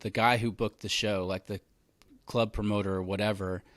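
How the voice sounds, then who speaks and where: A man in his thirties hosting a podcast, telling stories in a relaxed chatty way full of "like" and "man". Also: lowish voice, normal speed, no room sound. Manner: relaxed storytelling, lots of fillers like "like" and "man". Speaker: podcast host. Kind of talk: casual conversational interview.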